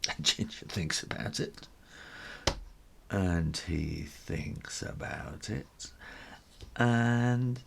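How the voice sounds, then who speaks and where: A man reading a children's story in an animated, theatrical style, with dramatic pauses. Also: on the low side, vocal fry, background noise.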